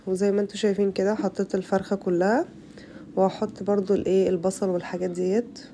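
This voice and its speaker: A young Egyptian woman with an Egyptian Arabic accent, her voice rough from a bad cold, chatting to her viewers through a casual cooking tutorial. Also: mid-range voice, level tone, fast, mellow, slight background noise. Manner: chatty, addresses viewers as girls. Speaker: cooking channel host.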